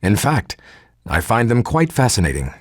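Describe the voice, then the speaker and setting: An actor playing a formal, articulate male geneticist recording an audio log for a game, measured and husky. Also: mid-range voice, big pitch swings, dry recording, studio quality. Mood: earnest, hopeful. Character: earnest male geneticist turning cold and militant.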